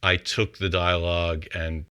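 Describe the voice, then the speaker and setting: A man with a fairly low voice talks casually as a songwriter about his craft. He is reflective, working out his thoughts aloud as he explains. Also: little pitch movement, unhurried, sharp tone, clear, resonant tone. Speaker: composer and songwriter.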